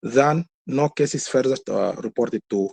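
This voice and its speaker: A man with a Sudanese accent, a health worker speaking on a webinar, giving a halting, repetitive report that leads into questions. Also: mid-range voice, vocal fry, a little mellow, slightly rough. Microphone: webinar call.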